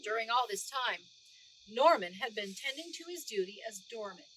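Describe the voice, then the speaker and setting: Animated woman reading a children's story. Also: a little high, normal speed, a little hiss or hum, rough recording. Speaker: storybook reader. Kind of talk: expressive children's read-aloud narration.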